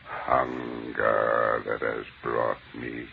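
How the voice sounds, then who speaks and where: A very deep, gravelly alien overlord. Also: male voice, flat delivery, exaggerated voice. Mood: hungry, menacing. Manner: slow, menacing, grandiose. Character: ancient bodiless alien head, cold contemptuous male overlord. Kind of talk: old-time radio science fiction acting. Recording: tinny, noisy old radio recording.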